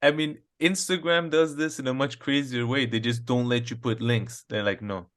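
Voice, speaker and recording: A man's voice: mid-range voice, steady tone, normal speed. A man co-hosting a comedy podcast, chatting casually and easily, agreeing often and laughing between his lines. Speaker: podcast co-host.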